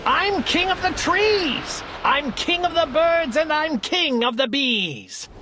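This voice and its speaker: Lady doing a puffed-up boastful turtle king, high and swooping, slow and grand, noisy background. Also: sing-song, exaggerated voice. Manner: grand, bragging proclamations.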